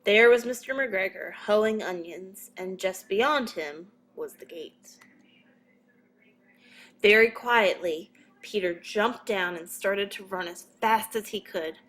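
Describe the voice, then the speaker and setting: A woman narrates a storybook for young children at a slow, careful pace. Her pitch sits in an ordinary middle range, and her delivery is expressive and measured. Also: low-quality recording. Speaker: storybook reader.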